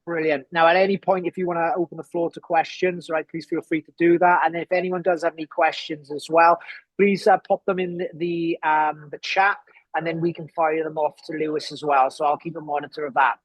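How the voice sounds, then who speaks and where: A man's voice: fairly high pitch, level tone, a little fast, dry recording, rough recording. A man from southern England near Wales, casually hosting a webinar and introducing a guest over a video call. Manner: friendly, filler-heavy and informal. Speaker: webinar host. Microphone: computer mic on a video call.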